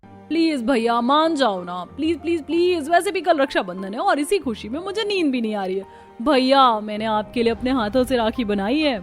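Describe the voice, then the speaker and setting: Young devoted sister female voice actor, earnest cartoon melodrama delivery, fairly high pitch. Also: animated, moderate pace, soft background music. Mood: pleading, eager.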